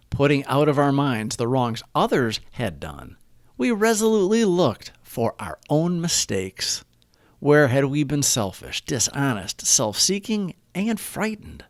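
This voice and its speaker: A man reading a scripted daily reflection aloud as narrator, phrasing it deliberately in short, measured groups. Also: medium pitch, dry recording, studio quality.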